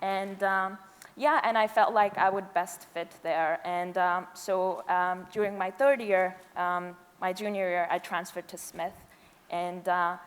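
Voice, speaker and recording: A woman's voice: fairly low pitch, steady tone. A nervous student in her twenties, a woman from Afghanistan who grew up in Pakistan, giving a hesitant, informal speech. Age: 20s. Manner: hesitant, halting. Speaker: university student speaker. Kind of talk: informal, unscripted public speech. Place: large indoor event hall. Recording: echoey hall, long reverb.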